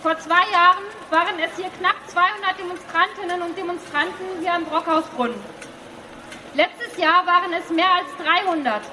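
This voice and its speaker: Female rally speaker, extremely high pitch, public address delivery, noisy outdoor setting. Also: normal speed, sharp tone. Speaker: demonstration speaker. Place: outdoors at a city square demonstration.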